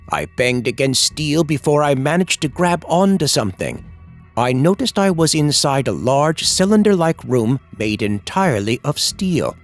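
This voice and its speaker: A man reading a scripted first-person horror story in a suspenseful, dramatic manner. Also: on the high side. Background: music.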